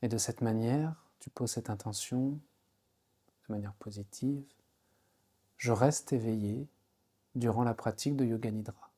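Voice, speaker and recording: Male yoga nidra guide, medium pitch, soft warm timbre, calm instructional delivery with spacious pauses. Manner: slow, soothing, unhurried instruction with pauses. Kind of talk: guided relaxation meditation.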